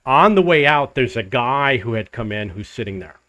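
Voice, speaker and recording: An older man, host of his own travel channel, telling a rambling personal story to the camera in a loud voice. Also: medium pitch, lively intonation, normal speed, no room sound. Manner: rambling, restarts and loops back in the story. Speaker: vlogger living abroad.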